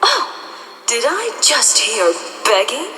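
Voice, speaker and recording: A woman playing a smug, menacing female nightmare spirit in an audio drama, mocking and sweetly threatening over drawn-out words. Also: high-pitched, sing-song, bright, forceful tone, echoey room, recorded from a distance, full character voice. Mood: smug taunting.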